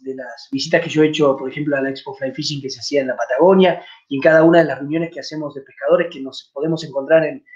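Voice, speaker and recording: Chatty Argentine man on dull webcam. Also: on the high side. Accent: Argentine Spanish. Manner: chatty, many fillers and restarts. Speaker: fly fishing channel host. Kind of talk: live stream Q&A. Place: home room, indoors. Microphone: webcam at desk.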